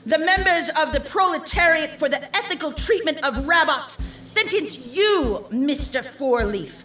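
A high, swooping villainess of a robot rabbit, acted by a woman, leads her revolution with scorn and fury. She is bright and forceful, theatrically imperious, in a comic radio play over music. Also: animated, measured pace, exaggerated voice. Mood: furious, imperious. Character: mocking female robot rabbit revolution leader, furious and theatrical. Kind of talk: comedic radio play acting.

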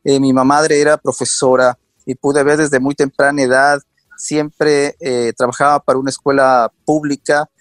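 A man in his forties from Quito with an Ecuadorian accent, a guest telling his story in a casual interview, animated and often laughing. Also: medium pitch, normal speed, dry recording. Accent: Quito Ecuadorian Spanish. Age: 40s.

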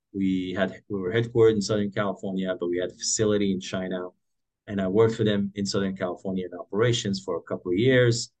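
A man's voice: lowish voice, low-quality recording. A man in his forties from Morocco, telling his career story as an interview guest with many fillers and restarts. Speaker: guest entrepreneur.

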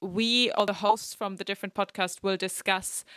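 Smooth-voiced woman moderating. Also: mid-range voice, steady tone, bright, forceful tone, no echo, studio quality. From Germany. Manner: measured, with fillers. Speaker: podcast host. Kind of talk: moderating a podcast discussion.

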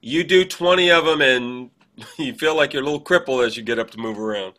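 A man's voice: mid-range voice, fairly quick, pushed and bright. A man chatting casually as a co-host, telling anecdotes and acting out bits of quoted dialogue. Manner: acts out quoted dialogue while telling stories. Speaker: podcast co-host, theatre director and actor. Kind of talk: casual chat, animated anecdotes.

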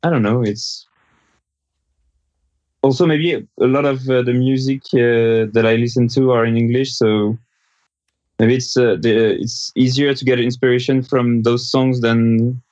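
A French-accented man pauses and gropes for the right words as he answers, his thoughts coming out in halting pieces. His voice sits at an ordinary middle pitch and arrives dull and lo-fi, as if over a video call. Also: steady tone, darkish tone, no room sound. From France. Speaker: guest musician. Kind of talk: remote interview answers.

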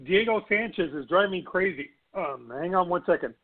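A man giving fight picks in casual chat, rambling and excitable with stammered restarts, his voice going hoarse. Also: high voice, fairly quick. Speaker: regular panelist. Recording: tinny phone-line audio.

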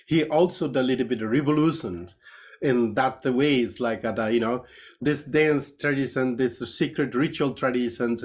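Nepali-accented man explaining haltingly. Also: medium pitch. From Nepal, Kathmandu Valley. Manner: halting, repetitive phrasing in non-native English. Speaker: interview guest, Buddhist priest and dance master. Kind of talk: conversational explanation.

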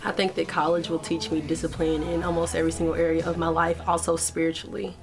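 Low-voiced teenage girl, earnest. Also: level tone, fast talker, over music. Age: teens. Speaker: college freshman guest. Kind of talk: casual chat.

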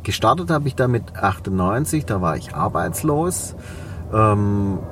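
A man's voice: fairly low pitch, big pitch swings, unhurried, distant mic, background noise. Swabian man, reflective and rambling. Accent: Swabian German. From Swabia, Germany. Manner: reflective, rambling with many fillers. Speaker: guest, cleaning company owner. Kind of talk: podcast interview.

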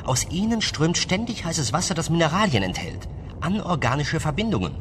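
An actor playing an eager, insecure, nerdy scientific assistant in a comic radio play, excitable and hesitant. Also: male voice, a little high, moderate pace, background noise. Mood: eager explaining.

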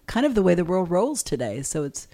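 A woman's voice: fairly low pitch, very animated, fairly quick, warm tone. A woman co-hosting a casual radio interview, responding to her guests and steering the conversation. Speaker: radio show co-host, library staff.

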